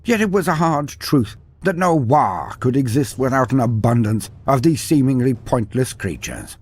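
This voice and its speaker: A man's voice: medium pitch, very animated, moderate pace, darkish tone, breathy edge. A man delivering dramatic scripted fantasy narration in a grandiose, wry manner with ornate old-fashioned phrasing. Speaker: storyteller, narrator of fantasy war lore.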